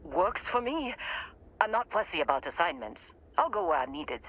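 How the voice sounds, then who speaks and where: A gruff female outpost supervisor with a low voice. She talks in a dry, blunt, no-nonsense way, naturalistic as a video game character, over faint background ambience. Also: very animated, moderate pace, fairly bright tone, a little hiss or hum. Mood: businesslike.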